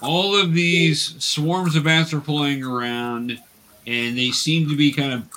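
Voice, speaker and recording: A man's voice: a little high, steady tone, slow, pushed and bright. A man running a tabletop roleplaying game as game master, explaining the steps patiently and casually to players.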